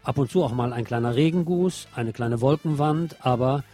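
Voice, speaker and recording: A man's voice: mid-range voice, normal speed. A man presenting scripted radio news with pointed commentary, recorded in a studio with music behind him.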